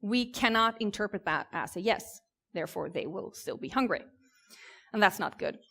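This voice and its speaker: A woman with a Swedish accent gives an informal conference talk at a medium pitch. She is conversational and pauses hesitantly as she explains. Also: animated, creaky, fairly bright tone. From southern Sweden. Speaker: conference speaker. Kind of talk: informal tech presentation. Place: conference stage.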